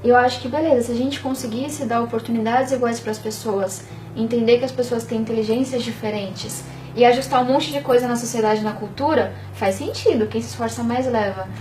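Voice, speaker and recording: A young woman from Bahia in Brazil, talking in a casual interview, animated and often tagging on "sabe?". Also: on the high side, far from the mic, slight background noise, rough recording. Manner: fast, animated, peppered with "sabe?". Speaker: interview guest.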